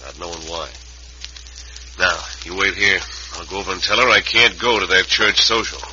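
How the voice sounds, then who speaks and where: A dull old radio recording of a ruthless cowboy outlaw. His voice is low and very forceful, his manner terse and cold. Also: normal speed, slight background noise. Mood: cold, menacing. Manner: terse, hard, folksy cowboy phrasing. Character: cold, ruthless Western bank robber. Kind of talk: old-time radio western acting.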